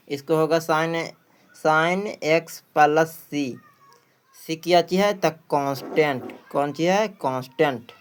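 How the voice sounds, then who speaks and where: A man's voice: a little high, clear, resonant tone. A man with a Bihari accent teaching a maths practice lesson, repeating phrases and asking questions that he answers himself. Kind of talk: exam practice lesson, working through questions.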